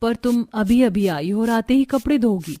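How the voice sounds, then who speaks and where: A woman voicing a gentle, loyal young bride in a cartoon story, earnest and dutiful. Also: a little high, animated, moderate pace, no room sound, studio quality, close to an everyday voice. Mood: surprised, concerned. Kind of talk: Hindi cartoon story dialogue.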